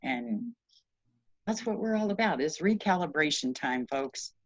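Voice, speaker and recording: Low-voiced woman, dull dry recording. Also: expressive, measured pace, dry recording. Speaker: radio show host and spiritual guide. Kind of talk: casual conversational talk about spirituality. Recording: dull, lo-fi audio.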